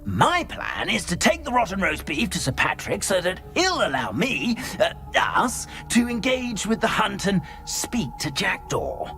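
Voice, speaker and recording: An actor playing a polite, eager English gentleman ghost in a video game, with a British accent and a courtly, hesitant manner. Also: sits high, sing-song, a little slow, few pauses, faint music behind, exaggerated voice. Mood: eager, fussy. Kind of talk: video game character acting.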